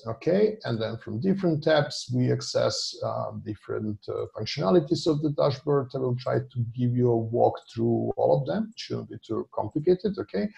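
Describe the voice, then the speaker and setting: A man's voice: medium pitch, vocal fry, warm tone. A man, a data scientist walking an online meeting through a dashboard on a shared screen, with many fillers and restarts. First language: Serbian. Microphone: video call.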